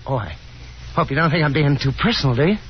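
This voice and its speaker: A man's voice: fairly high pitch, lively intonation, quick pace, natural voice. Friendly polite young american guy actor playing him, voice bounces about, muffled old radio play. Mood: friendly, coaxing. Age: young adult. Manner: easygoing, chuckly, hesitant politeness. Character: affable, bashful-polite young gentleman caller at dinner. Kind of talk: 1950s radio drama acting. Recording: muffled, noisy 1950s radio recording.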